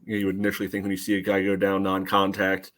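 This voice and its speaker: A man with an American accent and a deep voice breaks down soccer in casual podcast talk. His delivery stays level with little rise or fall. He thinks aloud, padding his points with "you know" as he works through them. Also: completely flat delivery, very fast, fairly bright tone. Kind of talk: casual sports analysis.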